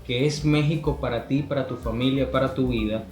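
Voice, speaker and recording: A man hosting an interview, asking questions in a slow, deliberate way. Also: medium pitch, steady tone, a little mellow. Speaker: interviewer host. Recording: roomy echo with faint music.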